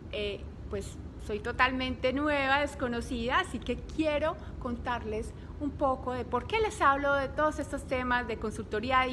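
A woman's voice: fairly high pitch, background noise. A woman in her thirties, raised in Colombia, tells viewers her story as an image consultant, in a flowing way aimed straight at the audience, from a living room at home. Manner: warm, flowing, addresses viewers directly. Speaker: image consultant and online course creator. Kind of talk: personal story to camera.

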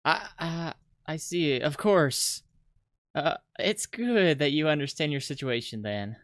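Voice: mid-range voice, measured pace, no echo, clean studio sound, natural voice. Performer putting on a haughty, flustered rich schoolgirl. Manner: stammering, imperious. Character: haughty, easily flustered rich schoolgirl, mean but softening.

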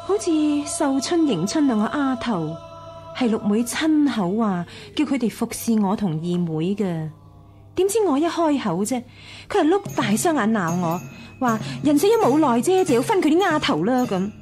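Animated, aggrieved senior wife. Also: female voice, a little high, moderate pace, faint music behind. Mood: aggrieved, weary. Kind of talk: Cantonese radio drama acting.

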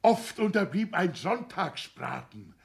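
Husky, blustering father. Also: sits high, sing-song, slow, full character voice. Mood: indignant, emphatic. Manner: blustering, indignant. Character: blustering, grumbling middle-aged father, petty official, self-righteous. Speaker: actor in a radio play. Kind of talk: 1960s German radio comedy drama acting.

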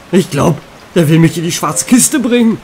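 An actor playing a grumpy, tired police detective in a German audio drama, with a sardonic, grumbling manner. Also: male voice, high voice, a little mellow, full character voice. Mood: exasperated. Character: weary, grumpy male police detective, easily exasperated. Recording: rain and music behind.

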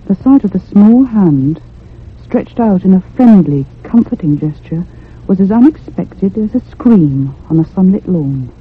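A woman playing an anxious narrator recounting a haunting in a radio drama, in a husky, literary manner. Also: medium pitch, expressive. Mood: brooding, measured. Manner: literary, measured storytelling. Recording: tinny, band-limited old radio sound.

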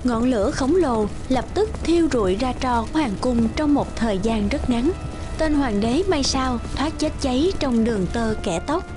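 A woman's voice: high-pitched, lively intonation, normal speed. A woman narrating a scripted fairy tale. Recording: music behind the voice.

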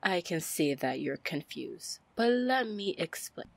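Casting: actress as female pirate lord for fantasy ASMR roleplay; low, gravelly voice, flirtatious, confident, protective. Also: sing-song, full character voice. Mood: sly, coaxing. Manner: slow, drawling, flirtatious terms of endearment. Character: confident, teasing female pirate captain, commanding yet protective.

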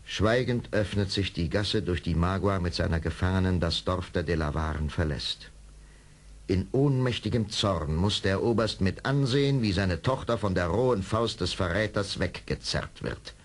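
A man with a husky voice narrating an adventure radio play dramatically from a script. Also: sits low, moderate pace.

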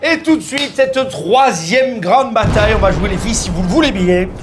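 Excitable male host, quick exclamations, lively delivery. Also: high voice, over loud music. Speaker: game show host. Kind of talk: comic talk-show hosting.

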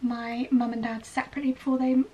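A woman's voice: a little high, little pitch movement, fast talker, highs cut off. A young woman from Wales chatting casually to camera in a get-ready-with-me vlog, recorded in a bedroom. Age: young adult. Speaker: vlogger, ballet dancer in training. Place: small bedroom indoors. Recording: slightly distant mic, clearly noisy room.

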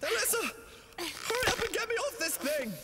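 A performer playing a plucky, excitable teenage boy sidekick in a video game. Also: very high voice, normal speed, heavily acted. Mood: panicked. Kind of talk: video game cutscene acting. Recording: long reverb under loud music.

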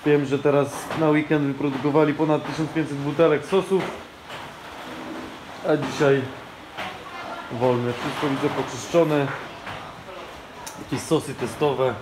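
A man in his thirties giving a relaxed, chatty phone-camera tour of a building site outdoors, with frequent filler sounds. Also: fairly high pitch, measured pace, long pauses, noisy background. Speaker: vlogger. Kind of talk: casual walk-through vlog narration. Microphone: handheld phone camera.

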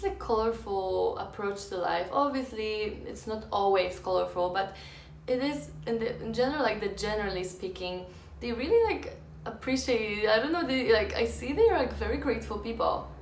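A woman's voice: medium pitch, normal speed, slight background noise. A young woman in her twenties chatting casually to camera in her bedroom, rambling with lots of fillers and restarts. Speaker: vlogger. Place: bedroom indoors. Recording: echoey room.

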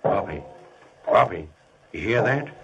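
Lively, nervous cowboy sidekick. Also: male voice, fairly low pitch, a little slow, creaky, highs cut off. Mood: nervous, fretting. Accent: American. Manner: fretful, folksy. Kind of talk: old-time radio western acting. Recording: very noisy vintage radio recording.

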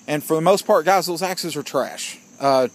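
A man's voice: on the high side, normal speed. A man giving a casual outdoor gear review, plainspoken and opinionated, often calling his viewers "guys". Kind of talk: casual product review vlog.

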